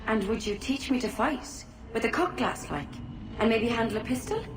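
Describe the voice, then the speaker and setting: A woman's voice: fairly high pitch, expressive, normal speed, natural voice. An actress voicing a coy, eager would-be sailor woman with colloquial, coaxing speech in a video game drama. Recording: echoey, distant, noisy background.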